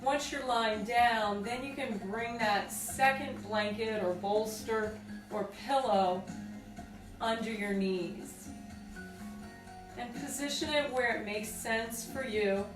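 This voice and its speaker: A woman leading a guided meditation with slow, deliberate, soothing phrasing and pauses. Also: a little high. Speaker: group exercise coordinator leading guided meditation. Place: indoors on a rug by firelight. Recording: roomy, distant mic, music behind.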